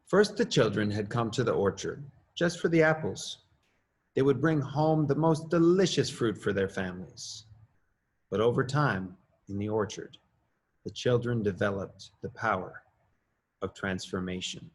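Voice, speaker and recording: A middle-aged man, an assistant principal, reading his own children's story aloud with lively rises in pitch. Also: unhurried. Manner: expressive, lively rises on questions and exclamations.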